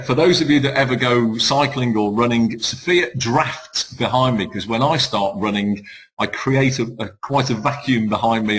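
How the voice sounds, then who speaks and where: A man co-hosting a business webinar, relaxed and joking as he presents. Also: medium pitch, poor audio. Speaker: webinar co-host. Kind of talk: presenting a webinar and reading a testimonial aloud.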